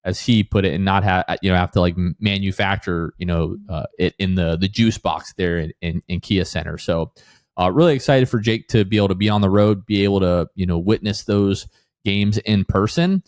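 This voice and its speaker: An American man with a fairly low voice hosts a sports podcast. He talks in a casual, conversational style. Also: steady tone, brisk, darkish tone.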